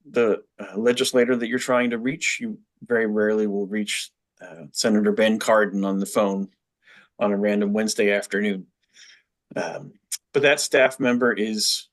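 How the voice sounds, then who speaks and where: A man presenting a training webinar, explaining software step by step in a methodical way. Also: on the low side.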